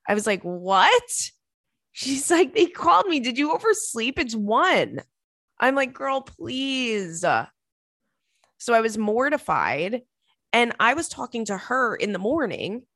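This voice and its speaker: A woman in her thirties with a slightly raspy voice, hosting a podcast from her kitchen table and telling stories in a chatty, animated way. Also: on the high side, takes it slowly, no echo, studio quality. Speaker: podcast host. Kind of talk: casual chat.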